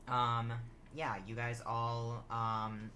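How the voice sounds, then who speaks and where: Casual tabletop game master, halting improvised narration, forceful bright tone, faint background noise. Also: medium pitch, monotone.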